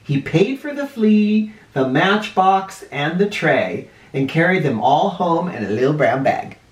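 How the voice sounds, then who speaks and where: A man reads a children's story in an echoey room, his pitch swinging widely. He takes it slowly and leans on words for drama, like a teacher reading to first graders. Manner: expressive, deliberate, dramatic emphasis. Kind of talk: animated storybook read-aloud for children. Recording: roomy, echoey indoor sound.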